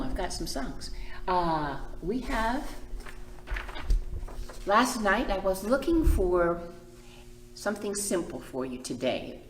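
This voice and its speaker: A woman hosting a children's storytime, speaking expressively in simple phrases and drawing words out for young listeners. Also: fairly low pitch. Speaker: children's librarian and storytime host. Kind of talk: children's storytime reading and teaching. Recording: echoey room.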